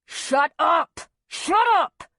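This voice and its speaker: A man's voice: high voice, expressive, extremely slow, a little mellow, breathy edge, dry recording, clean studio sound, full character voice. A woman narrator voicing a violent, hot-tempered husband who barks and bellows his commands in an audiobook story. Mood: furious.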